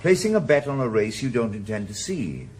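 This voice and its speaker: A man's voice: medium pitch, big pitch swings, a little fast, darkish tone, slight background noise, close to an everyday voice. An actor playing a weary retired policeman turned private eye in a radio drama, dry and laconic. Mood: probing. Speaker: private investigator. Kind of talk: 1980s radio drama acting.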